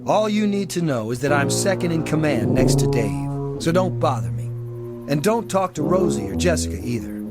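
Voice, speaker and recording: Male actor as impatient, brusque deputy for a game; animated intonation, loud music underneath. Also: on the high side, moderate pace, lightly acted. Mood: irritated, dismissive. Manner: gruff, dismissive. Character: surly, impatient male second-in-command of tiny republic. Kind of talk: video game character dialogue.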